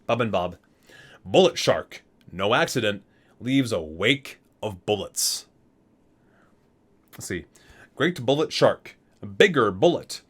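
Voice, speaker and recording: A man's voice: fairly low pitch, very animated, takes it slowly, long pauses, fairly bright tone, husky. A man hosting a gaming ASMR video, reading entries aloud and dropping into casual, amused asides.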